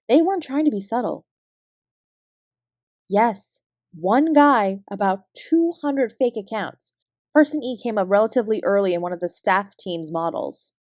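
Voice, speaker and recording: A woman with a Canadian accent playing a guarded, weary fraud investigator, giving a clipped, measured account in an audio drama. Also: mid-range voice, a little mellow, dry recording, studio quality, natural voice. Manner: measured, clipped report-like delivery. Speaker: investigator being interviewed.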